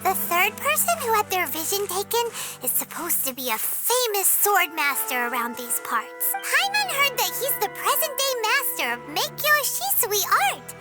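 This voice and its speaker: A tiny, chirpy game companion speaks in a squeaky, childlike voice pitched extremely high. The delivery is animated and expressive, bouncing up and down, with loud music underneath. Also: female voice, normal speed, hardly any pauses. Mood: earnest, chatty. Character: tiny childlike floating companion, chirpy, talks in third person. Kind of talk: video game voice acting.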